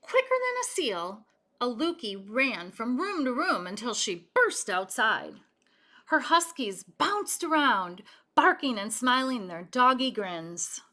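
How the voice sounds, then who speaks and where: Animated woman reading a storybook, bright voice. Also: fairly high pitch, slow pace. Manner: animated, addressing young listeners with questions. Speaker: storybook reader. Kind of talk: expressive read-aloud for children, with asides.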